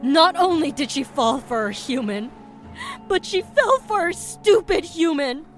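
Vengeful man-eating siren played by a woman, higher-pitched voice, menacing, fiercely protective, audio drama. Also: expressive, a little slow, soft background music. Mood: furious.

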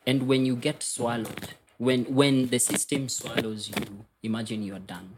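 A man with a Kenyan accent and a husky voice, talking candidly as an interview guest, emphatic and often repeating his phrases. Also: medium pitch, steady tone, unhurried, background noise. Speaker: podcast guest. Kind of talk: candid personal storytelling interview.

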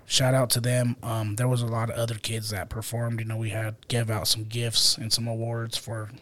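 A man's voice: mid-range voice, monotone, normal speed, a bit bright. A man co-hosting a casual podcast, a football coach talking about camp, upbeat and calling his co-host "brother". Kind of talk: casual chat.

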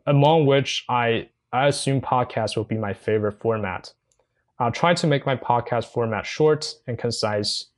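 A young man from China with a Mandarin Chinese accent, a non-native speaker hosting his own podcast, talking earnestly and carefully with frequent pauses and fillers. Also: medium pitch. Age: young adult. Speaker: podcast host. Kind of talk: solo podcast monologue.

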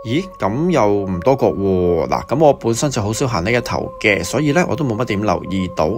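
Man playing a low-voiced Hong Kong property agent. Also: sing-song, fairly quick, soft background music. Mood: puzzled, casual. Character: casual, easygoing male village property agent. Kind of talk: radio drama dialogue.

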